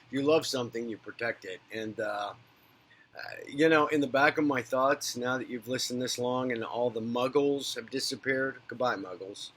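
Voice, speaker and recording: A man with a bright, forceful voice talks straight to his viewers in an unscripted spiritual monologue. He rambles and speaks candidly, swearing freely, rising to loud rants and then dropping to soft murmurs. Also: medium pitch, normal speed, husky. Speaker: psychic medium and spiritual talk host. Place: based in a big city.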